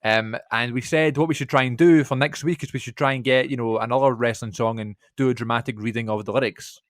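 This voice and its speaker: A man's voice: mid-range voice, steady tone, fast talker, no echo, clean studio sound. A man, talking casually as a podcast host. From Scotland.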